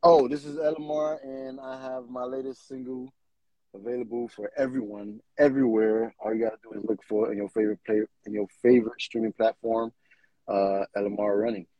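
A man's voice: medium pitch, little pitch movement, a little fast, mellow, no echo, low-quality recording. A man from Israel, a singer-songwriter guest, talking earnestly about his music in casual interview chat. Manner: earnest, loose and repetitive phrasing.

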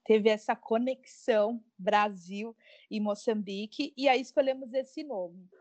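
A woman's voice: on the high side, a little slow, a little mellow, dry recording, lo-fi sound. A woman co-hosting a podcast in a casual, conversational style. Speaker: podcast co-host.

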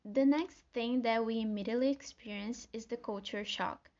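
A woman's voice: a little high, normal speed, a little mellow. Young Brazilian woman, lo-fi podcast. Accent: Brazilian Portuguese. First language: Portuguese. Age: young adult. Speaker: podcast host. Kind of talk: scripted solo podcast talk.

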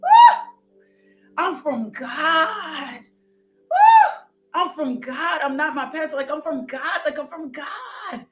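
A woman preacher delivering a fervent sermon, repeating declarations, breaking into high whoops and dropping to hushed prayer. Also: sing-song, slow. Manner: exclamatory, with sudden high whoops. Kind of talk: fervent sermon with altar call and blessing.

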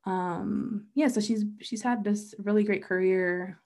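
A woman's voice: medium pitch, fairly even intonation, measured pace, darkish tone. A woman co-hosting a podcast in casual chat, chatty and full of "like" and "um," sharing facts. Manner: chatty, fills with "like" and "um", reads out facts. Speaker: podcast co-host.

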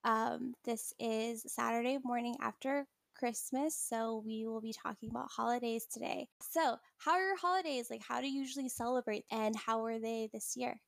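A young-sounding woman with a slightly high voice and a gravelly fry runs a laid-back podcast chat. She rambles easily and leans on fillers like "like" and "um." Her sound is close and dry. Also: moderate pace, hardly any pauses, no echo. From California. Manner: relaxed, rambling with many fillers. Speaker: podcast host. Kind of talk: casual chat.

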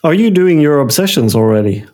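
A man's voice: on the high side, big pitch swings, a little fast, a little mellow. A man co-hosting a podcast in casual chat, mostly giving short reactions and laughing easily. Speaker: podcast co-host.

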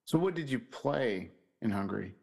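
A man's voice: mid-range voice, expressive, normal speed, creaky, darkish tone. A man hosting a podcast in casual conversation, rambling with frequent "you know" fillers. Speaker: podcast host.

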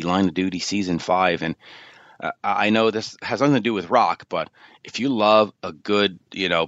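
A man with a lowish voice rambles casually through a radio show intro and drifts from one aside to the next. The sound is dry and slightly dull. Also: a bit bright, no room sound. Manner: chatty, digressive.

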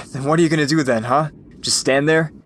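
A man's voice: fairly high pitch, fairly even intonation, fairly quick, a bit bright, slight background noise, natural voice. A man playing a cocky, reckless teenage boy in an audio drama, teasing, bossy and dismissive. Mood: impatient, bossy. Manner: flippant, bossy.

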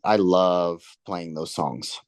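A man's voice: low-pitched, slow, darkish tone, clean tone, dry recording, clean studio sound. A man chatting casually in an interview as a touring musician, with slangy, informal phrasing. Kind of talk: casual chat.

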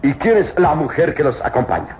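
Casting: cruel radio-serial villain, high-pitched, theatrical and gloating, on a noisy, echoing old recording. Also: male voice, sing-song, fairly quick. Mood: sneering, gloating. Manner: theatrical, menacing, sneering. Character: cruel, gloating German villain, murderous tomb robber. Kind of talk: old Latin American radio serial acting. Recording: muffled, noisy old radio drama, roomy echo.

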